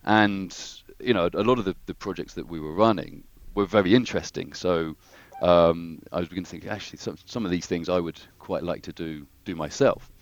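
A man's voice: deep voice, brisk, hardly any pauses, no room sound, lo-fi sound. A man, a guest engineer, talking through his career in a hesitant, thoughtful way with many restarts. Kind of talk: casual interview conversation.

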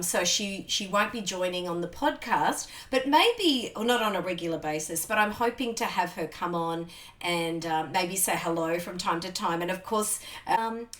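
A woman's voice: medium pitch, fairly bright tone. A woman, hosting a podcast in a chatty way with many ums and restarts. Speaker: podcast host. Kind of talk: casual conversational interview.

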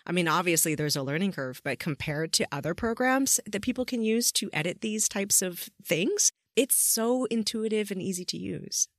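A woman's voice: medium pitch, lively intonation, moderate pace, few pauses, no room sound, studio quality. A woman hosting a casual interview, effusive and informal in the way she talks. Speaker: podcast interviewer and host.

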